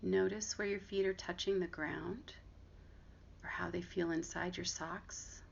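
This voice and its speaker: Low, creaky-voiced woman guiding meditation. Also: fairly even intonation. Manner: gentle, unhurried instruction with long pauses. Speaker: meditation guide and therapist. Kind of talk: guided body scan meditation. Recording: dull lo-fi audio with clear background noise.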